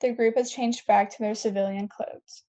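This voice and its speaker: Woman narrating a script read, soft-edged mellow tone, bit high. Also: fairly even intonation, moderate pace. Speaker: narrator reading stage directions.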